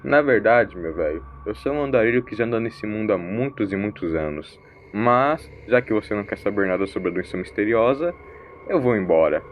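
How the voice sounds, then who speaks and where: A man's voice: lowish voice, animated, a little fast, highs cut off, a little hiss or hum, close to an everyday voice. A man voicing a mysterious, eccentric vagabond in an audio story, drawling slangy words in a theatrical, teasing way. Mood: sly, amused. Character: mysterious eccentric wandering vagabond, teasing, preachy, ancient but young-sounding.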